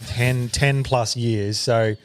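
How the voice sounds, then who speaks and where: A man's voice: mid-range voice, steady tone, takes it slowly, smooth, no room sound. An Australian man co-hosting a podcast, trading dry, cheeky banter. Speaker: podcast co-host. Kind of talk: casual chat.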